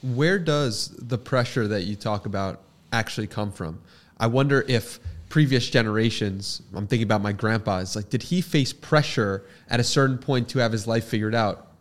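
A man's voice: fairly low pitch, moderate pace. A man hosting an interview podcast, putting questions in a thoughtful, conversational way.

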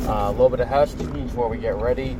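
A man's voice: medium pitch, rapid-fire, recorded from a distance, heavy background noise. A man hosting a podcast, talking casually with many fillers and restarts, sounding congested and nasal. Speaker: podcast host. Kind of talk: casual solo review talk.

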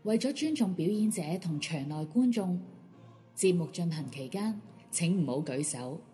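A woman's voice: fairly low pitch, lively intonation, moderate pace, dark tone. A woman with a Hong Kong Cantonese accent reading a scripted pre-show announcement. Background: background music.

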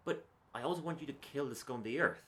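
A man playing an earnest, needy would-be sidekick and exasperated straight man in a dark comedic radio play, pleading and insistent. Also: mid-range voice, fast, natural voice.

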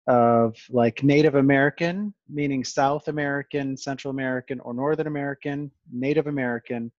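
A man's voice: mid-range voice, dry recording. A male podcast host who stutters and circles back over his words while he chats. The sound is dry and a bit dull and lo-fi. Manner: stuttering, restarts phrases often. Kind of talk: casual conversation.

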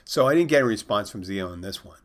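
A man's voice: on the low side, expressive, fast. A man casually recounting a story, rambling with restarts and side comments. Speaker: storyteller recounting trolling a scammer. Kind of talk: casual spoken anecdote.

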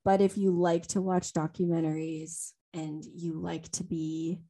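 A woman's voice: fairly low pitch, flat delivery, a little slow, warm tone. Rambling young woman podcast host. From Louisiana. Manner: rambling, with many ums and easy laughter. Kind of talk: casual chat, unscripted storytelling.

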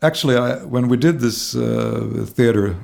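A man's voice: mid-range voice, unhurried, gravelly, darkish tone. A man with a Swedish accent talking about his singing career in English, hesitant and full of fillers and repeated words. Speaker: singer, interview guest. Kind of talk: casual conversational interview.

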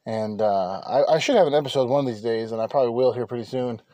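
A man hosting a Bible teaching podcast from inside his car, talking in a halting way with many fillers and restarts. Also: medium pitch, dark tone, no room sound. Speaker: podcast host and preacher.

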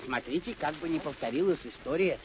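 A man acts a simple, cautious squire in an old radio drama. His voice is high for a man, deferential and bumbling, on a faintly noisy old recording. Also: animated, brisk, natural voice. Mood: cautious, doubtful. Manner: plain-spoken, deferential, bumbling.

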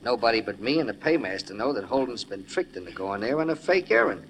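A man playing a smug, menacing American gunman in a forties radio crime play. He speaks in mid-pitched, clipped slang and bosses everyone around. The old recording has some noise. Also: expressive, quick pace, lightly acted. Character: menacing, smug gangster with a gun. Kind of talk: 1940s radio crime drama acting. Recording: noisy vintage radio recording.

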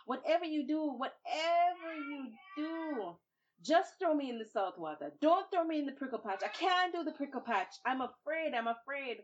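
A woman voicing Babuki, a boastful, hot-tempered male trickster who shouts indignant challenges. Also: lowish voice, measured pace, hardly any pauses, lightly acted. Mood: pleading. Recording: dull lo-fi recording.

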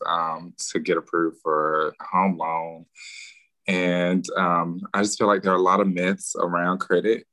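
Very deep, bright-toned man. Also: no room sound, low-quality recording. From Birmingham, Alabama. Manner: relaxed, explanatory advice giving. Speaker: guest, real estate agent. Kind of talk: casual podcast interview.

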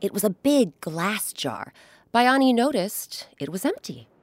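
A woman's voice: medium pitch, big pitch swings, a little slow, no room sound. A woman hosting and narrating a children's story, with dramatic, emphatic phrasing. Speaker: host and narrator.

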